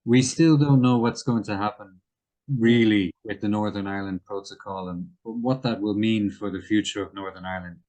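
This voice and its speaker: Male interview guest, lower register, careful and halting, explains current affairs in conversation. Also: steady tone, darkish tone, dull audio.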